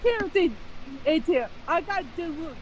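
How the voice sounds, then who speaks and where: A woman with a high-pitched voice talks casually as a participant in a live stream. She speaks in the loose, informal way of a voice chat. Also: animated, normal speed, highs cut off, a little hiss or hum.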